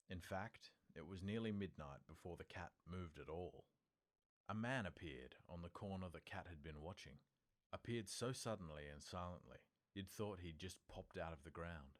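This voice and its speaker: A man reading an audiobook as its narrator, in scripted storytelling style. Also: low voice.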